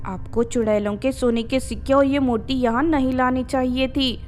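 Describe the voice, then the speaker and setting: A woman's voice: high voice, fairly even intonation, normal speed, a little mellow, soft background music, natural voice. A woman voicing a worried, fearful village wife with an anxious, pleading manner in a storybook tale.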